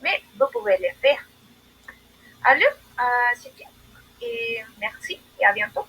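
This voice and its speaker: A woman gives formal feedback as a jury member. Her voice is husky and bright, and her pitch moves around a lot. She stops often with "euh" between phrases. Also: big pitch swings, poor audio. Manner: formal but halting, many fillers. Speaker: jury member in a mock admission interview. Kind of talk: role-played formal announcement.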